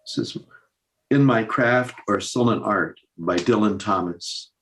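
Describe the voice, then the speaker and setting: An older man reading poems aloud, deliberate, with pauses between short phrases. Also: fairly low pitch, fairly bright tone, a little hiss or hum, rough recording. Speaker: poetry reader. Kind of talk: poetry recitation.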